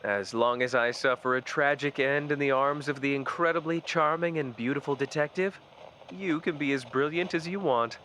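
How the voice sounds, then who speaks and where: A man's voice: mid-range voice, normal speed, lightly acted. Casting a man for a wry, theatrical young partner detective, middle voice, hissy noisy recording. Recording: noisy background hiss.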